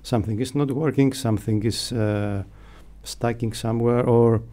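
A middle-aged man with a Greek accent, answering interview questions in a halting, hesitant way. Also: medium pitch, steady tone, dark tone. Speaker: radio station staff member, interviewee. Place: small foam-padded radio studio. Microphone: close studio mic on boom arm, headphones.

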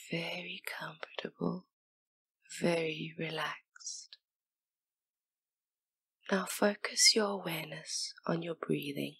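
A woman guiding a hypnosis meditation in a soft, breathy near-whisper, with soothing, gentle, unhurried instruction. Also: fairly low pitch, no echo. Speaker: hypnotist and session guide.

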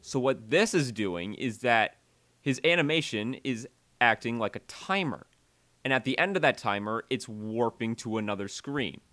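A man narrating a software tutorial voiceover, halting and often repeating or correcting himself as he explains. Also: mid-range voice, lively intonation, no echo.

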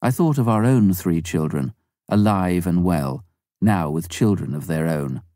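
Male narrator, low and mellow, dry close recording, steady audiobook read. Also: no room sound. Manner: measured, even first-person reading. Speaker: audiobook reader. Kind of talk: scripted memoir narration.

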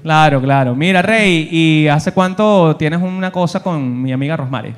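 A man's voice: a little high, normal speed. A man with a Venezuelan accent doing stand-up crowd work, teasing the audience in crude, exclamatory slang. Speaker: stand-up comedian. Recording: live venue, faint background noise. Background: comedy club audience ambience.

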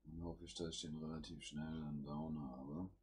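A man's voice: a bass voice, little pitch movement, normal speed, darkish tone, husky. A man with a cold, hosting a gaming channel and thinking aloud in casual commentary with plenty of fillers as he plays. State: has a cold.

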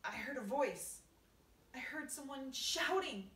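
A calm, sensible young boy as a woman reads him aloud speaks plainly and practically. The recording is roomy and distant, with a faint hiss. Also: medium pitch, moderate pace, close to an everyday voice. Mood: alarmed.

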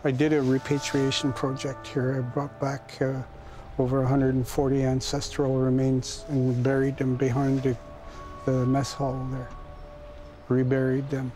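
A man's voice: mid-range voice, completely flat delivery, measured pace, mellow, soft background music, rough recording. A man giving a reflective account in an interview. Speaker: local interviewee.

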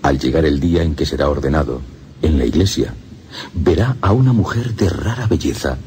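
A man with a Castilian Spanish accent narrating a radio drama in a solemn, deliberate, suspenseful way. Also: a bass voice, a little mellow, slight background noise. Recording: music under the voice.

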